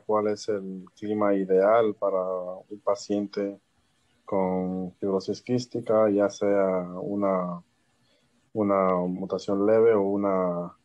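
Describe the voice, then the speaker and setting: Slow, deep-voiced man from Guatemala. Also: lo-fi sound. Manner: polite, hesitant, frequent fillers. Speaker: caller asking a question. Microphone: video call.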